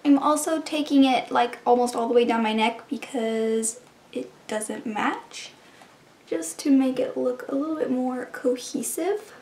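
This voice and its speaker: A woman with a high voice and a slightly stuffy, congested tone talks her way through a makeup routine. She chats loosely from one step to the next, and the room around her rings with echo. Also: a little slow, darkish tone. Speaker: beauty vlogger. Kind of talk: casual makeup try-on narration.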